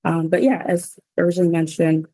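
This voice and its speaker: A woman from Cameroon who moved to the United States, telling her own story as an interview guest in a reflective, informal, pausing way. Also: lowish voice, level tone, mellow, dry recording. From Cameroon, then the United States. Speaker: interview guest, cookbook author. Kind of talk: personal storytelling in a conversational interview.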